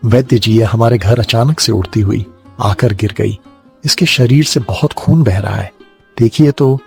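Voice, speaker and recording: A humble cartoon villager played by a man with a husky, gravelly voice of medium pitch. He is kind and earnest, pleading simply over soft music. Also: moderate pace, exaggerated voice. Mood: alarmed.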